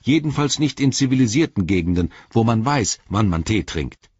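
A plain-spoken halfling servant from a storybook, acted by a man, grumbles with homely directness. The voice is husky and pushed up from a low register, loyal yet suspicious, in a very dry recording. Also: animated, normal speed, a little mellow, no room sound, highs cut off. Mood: indignant, suspicious. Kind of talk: audiobook character voice.

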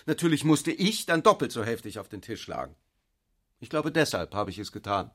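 An actor narrating a literary radio drama as a cool, intellectual brother, speaking in an articulate, measured way. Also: male voice, medium pitch, very animated, fairly bright tone, dry recording, studio quality. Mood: wry, reflective.

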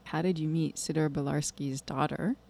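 Host: mid-pitched, friendly interviewer, plain and curious. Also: steady tone, normal speed, creaky, a little mellow. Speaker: radio show host. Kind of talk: interview hosting.

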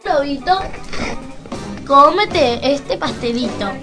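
Woman playing storybook girl and timid grandmother, high voice, rhymed repetitive delivery, children's radio play, music behind. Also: animated, takes it slowly. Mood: coaxing.

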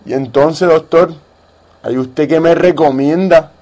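A man's voice: fairly high pitch, takes it slowly, warm tone. A man hosting a radio show in a conversational interview style.

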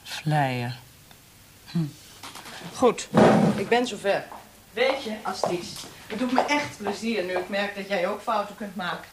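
An actress playing a cold, taunting secret police interrogator in a radio drama, speaking with controlled, coolly formal menace. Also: female voice, medium pitch, animated, measured pace, pauses often, close to an everyday voice. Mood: mocking, teasing. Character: cold, mocking female secret police interrogator, perfectionist and cruel. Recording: noisy radio play recording with clicks.

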